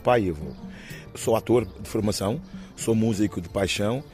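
A man in his fifties from Guinea-Bissau, talking about his life in an interview, thoughtful and halting, with many restarts. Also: on the low side, music in the background. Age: 50s. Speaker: interviewee, actor and musician. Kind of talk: reflective personal interview.

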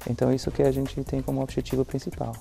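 A man with a Brazilian accent talking about his theatre group in relaxed interview answers, musing and restarting often. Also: mid-range voice, quick pace, creaky, soft background music. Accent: Brazilian Portuguese.

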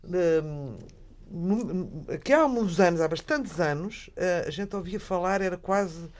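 A Portuguese woman in her sixties with a European Portuguese accent, chatting about books in an animated, halting way. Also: lowish voice. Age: 60s. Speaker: radio book show co-host. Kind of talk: casual literary chat.

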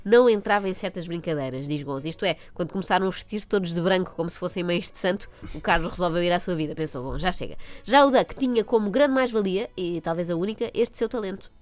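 A woman's voice: on the low side, quick pace, hardly any pauses. A woman with a European Portuguese accent delivering a scripted comic monologue as a radio host, in a wry, mock-earnest manner.

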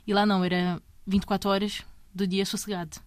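A woman in her thirties from Brazil, speaking Portuguese with a blend of Brazilian and European accents. She chats easily and restarts her sentences often. Her pitch stays fairly flat and level. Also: fairly quick. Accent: Brazilian Portuguese mixed with European Portuguese. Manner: chatty, restarts sentences, tags with "entende?". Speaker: MMA fighter, guest. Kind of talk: casual interview conversation.

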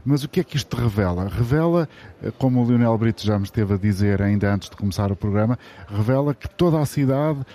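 A male radio host with a European Portuguese accent and a low, mellow voice. He steers a live sports discussion in long, formal, measured sentences, and his tone stays soft and relaxed.

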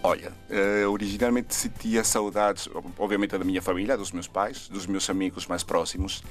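A Mexican man, a native Spanish speaker with a Mexican accent, talking as a guest in a relaxed interview, thoughtful, often restarting his sentences. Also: low voice, moderate pace, faint music behind. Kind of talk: casual interview chat.

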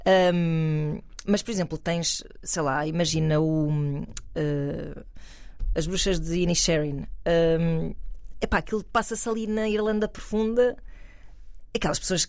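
A woman's voice: deep voice, steady tone, measured pace, a little mellow, no room sound. Thoughtful woman, dry sound. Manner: thoughtful, hedging, many fillers. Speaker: panel commentator. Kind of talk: casual discussion.

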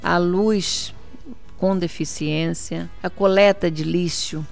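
An older woman gives emphatic interview answers, driving her points home by repeating them. Her voice has a gravelly edge, and soft music plays faintly underneath. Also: lowish voice, fairly even intonation, takes it slowly. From Portugal. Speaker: interview guest, city councillor.

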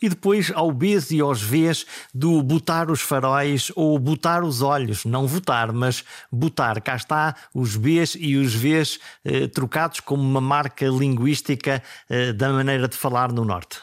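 A man's voice: medium pitch, a little slow, few pauses. A man with a European Portuguese accent hosting a conversational interview, musing in reflective, hesitant phrases. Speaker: interviewer, show host.

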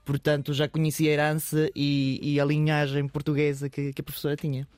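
A man's voice: fairly high pitch, monotone, brisk, darkish tone, dry recording, clean studio sound. A man in his twenties from Venezuela, a doctoral student and guest, speaking in an eager, friendly way. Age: 20s. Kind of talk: conversational interview.

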